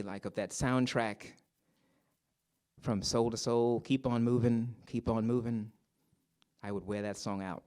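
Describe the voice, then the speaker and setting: A man finishing a personal narrative at a recital, relaxed and conversational. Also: fairly low pitch. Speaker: student presenter.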